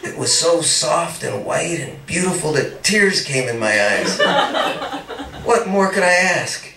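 Man reading a dreamy, teasing young suitor, animated pitch, far from the mic in an echoey room. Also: measured pace, gravelly, noisy background. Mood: rapturous. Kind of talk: read-aloud story dialogue.

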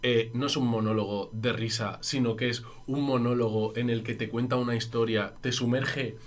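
A man's voice: mid-range voice, normal speed. A young man with a Spanish accent chatting casually on a reaction stream. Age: young adult. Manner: lively, jokey. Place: streaming room.

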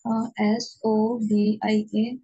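Hesitant husky woman from Pakistan. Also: a little high, level tone, mellow, no echo, highs cut off. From Punjab, Pakistan. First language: Urdu. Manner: halting beginner English, many hesitations. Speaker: test candidate, housewife.

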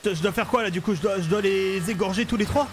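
An expressive, excitable man, doing gameplay commentary as host. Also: high-pitched, quick pace, crowd noise. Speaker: gaming video host and role-player.

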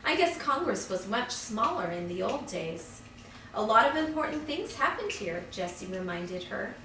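A woman, a teacher, reading a children's chapter book aloud expressively and acting out the dialogue. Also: fairly high pitch. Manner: expressive storytelling, voicing dialogue. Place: living room at home. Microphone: far camera. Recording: roomy, distant microphone, noisy background.

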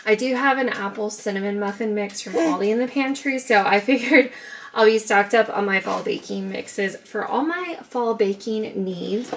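Chatty young woman with a gravelly edge. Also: medium pitch, normal speed, a bit bright, slight background noise. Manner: chatty, upbeat, talks in a sing-song baby voice to her son. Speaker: vlogger. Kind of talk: casual grocery haul vlog. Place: home kitchen.

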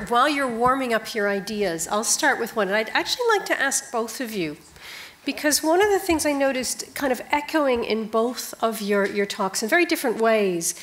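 A woman's voice: a little high, a bit bright. Woman moderator posing a panel question. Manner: measured, frequent affirming mms.

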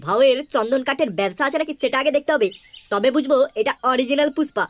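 A performer voicing a cocky, wheedling little boy DJ in a comedy cartoon, cheeky and smooth-talking. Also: high-pitched, fast, natural voice.

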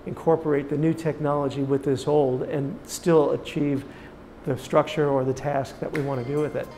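A man's voice: fairly high pitch, flat delivery, moderate pace, dark tone, slight background noise, poor audio. A middle-aged man giving an informal interview explanation as a business owner, recorded indoors. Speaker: farm owner being interviewed. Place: indoor room, interview setup.

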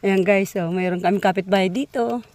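A woman's voice: mid-range voice, steady tone, fairly quick, darkish tone, a little hiss or hum. Woman vlogger, casual walking chat, outdoors, handheld phone sound, slightly winded. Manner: chatty, talking to viewers while hiking. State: a bit out of breath. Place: outdoors on a muddy dirt road in hills. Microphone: phone held while walking.

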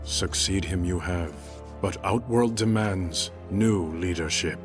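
An actor playing a stern, resolute warlord in a fantasy game, speaking formally and gravely with commanding weight. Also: male voice, deep voice, slow, music in the background. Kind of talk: dramatic fantasy game acting.

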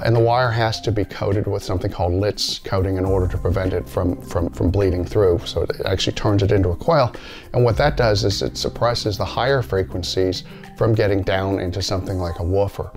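Middle-aged male presenter, lowish pitch, informal step-by-step explainer, music underneath. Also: a little mellow. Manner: plain-spoken, explains step by step with examples. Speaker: company presenter explaining audio basics. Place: indoor listening room, seated.

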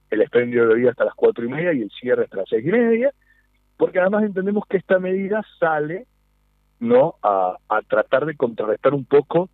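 A man's voice: medium pitch, no room sound. A man in his thirties with an Argentine accent, explaining a policy earnestly over a phone interview. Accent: Argentine Spanish. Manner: earnest, explanatory, asks for agreement. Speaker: nightlife business representative. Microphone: phone call. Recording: tinny phone line.

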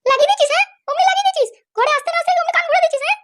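A performer voicing a shrill, defiant young wife who screeches accusations in a comedy cartoon. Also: rapid-fire, fairly bright tone. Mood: accusing.

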